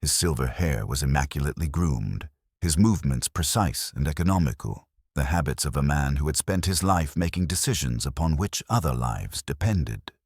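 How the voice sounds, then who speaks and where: Very deep husky male narrator. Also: expressive, moderate pace, a little mellow, no echo, clean studio sound. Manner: formal, descriptive storytelling. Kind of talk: scripted audiobook narration.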